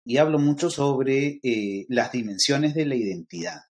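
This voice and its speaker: A man with a Peruvian accent, a guest lecturer explaining ideas thoughtfully in a podcast interview, often pausing on fillers. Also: medium pitch, a little mellow. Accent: Peruvian Spanish. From Peru.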